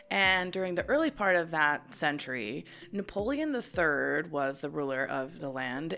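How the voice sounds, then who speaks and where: A bubbly woman co-hosting a history podcast, talking in a fairly low voice for a woman. She chats loosely and giggles often, with faint music behind her. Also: vocal fry, fairly bright tone. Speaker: podcast co-host. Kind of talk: casual history podcast chat.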